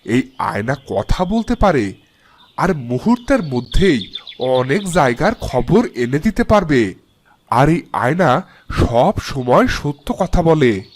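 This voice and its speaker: A man's voice: fairly high pitch, sing-song, slow pace, a little hiss or hum. A man voicing a polite, ingratiating travelling merchant in a fairy-tale cartoon, deferential and eager.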